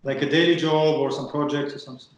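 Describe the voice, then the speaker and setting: Host, male, Russian accent, fairly high voice, stop-start phrasing, very echoey room. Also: fairly even intonation, quick pace. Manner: hesitant, repeats words while searching for phrasing. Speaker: podcast host. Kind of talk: interviewing a guest over a call.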